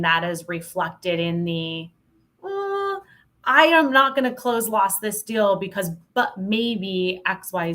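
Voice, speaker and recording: Lower-pitched woman, emphatic and candid. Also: a bit bright. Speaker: podcast guest, sales professional. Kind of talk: casual interview chat.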